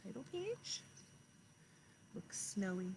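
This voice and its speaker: A woman kindergarten teacher talking and reading aloud to young children, speaking to them directly and pausing to explain and ask questions. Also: medium pitch, sing-song, gravelly, background noise.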